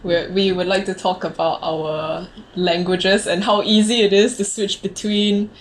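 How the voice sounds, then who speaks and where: A woman in her late twenties with a Singaporean accent, hosting a relaxed, informal conversation. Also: mid-range voice, unhurried, rough recording. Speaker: host of a conversational video series. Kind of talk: casual chat.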